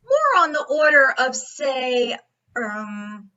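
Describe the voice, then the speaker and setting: A woman's voice: high voice, very animated, slow pace, bright, forceful tone, muffled recording, exaggerated voice. A woman acting a brash, folksy police captain in an old-time radio play, slyly probing with mock-casual questions. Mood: needling, insinuating. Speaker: actor in an amateur radio play.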